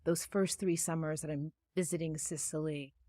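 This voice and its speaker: Reflective woman guest, lowish voice. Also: steady tone, normal speed, no room sound, clean studio sound. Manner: reflective, soft-spoken storytelling. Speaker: author and guest. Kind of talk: conversational interview. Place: studio.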